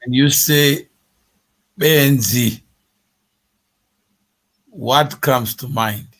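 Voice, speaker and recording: A man hosting an interview, asking probing questions in a slow, deliberate way. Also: medium pitch, fairly bright tone. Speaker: interview host.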